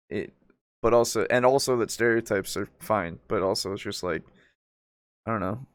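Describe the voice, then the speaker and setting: A man's voice: lowish voice, a bit bright, no echo, studio quality. A man co-hosting a casual discussion, giving blunt, sceptical commentary and interjections. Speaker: podcast co-host reacting to a video.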